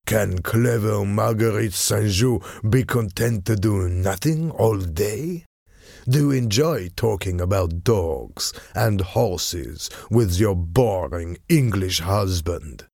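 A man voicing a cold, cunning French ambassador of forty in an audiobook, smooth-tongued, deliberate and menacing. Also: on the low side, a little mellow, dry recording, clean studio sound, full character voice. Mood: sly, goading.

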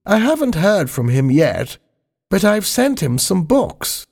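A man reading aloud, voicing an elderly former queen in a high, fluting put-on voice. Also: very animated, slow, a little mellow, full character voice. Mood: earnest.

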